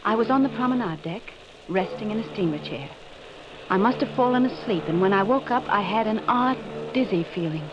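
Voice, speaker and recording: An actress as a troubled wife lost in her own confusion, in an old radio melodrama. Her delivery is halting and anxious. The recording is muffled, with heavy noise behind her. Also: medium pitch, lively intonation, close to an everyday voice. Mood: haunted, brooding. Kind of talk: 1940s radio drama acting.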